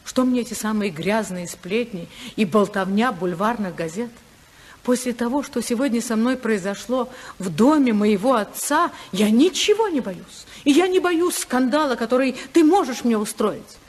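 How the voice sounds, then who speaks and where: An actress playing a dignified, disillusioned senator's wife, earnest, measured and defiant, in a 1950s Soviet radio drama. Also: female voice, a little high, animated, a little mellow. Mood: defiant, resolute.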